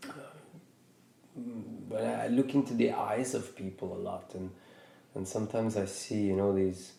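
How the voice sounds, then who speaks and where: Guy from norway thinking out loud on a podcast, lowish mellow voice, lots of restarts, echoey room. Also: steady tone, unhurried, creaky. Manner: thoughtful, hesitant with many restarts. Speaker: podcast host. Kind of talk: reflective casual conversation.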